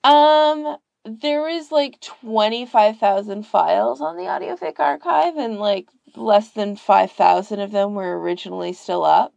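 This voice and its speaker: A woman's voice: medium pitch, a little slow, gravelly, few pauses, darkish tone, dry recording, dull audio. A woman explaining things in a casual podcast chat, informally and with plenty of filler words. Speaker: podcast guest and volunteer archivist.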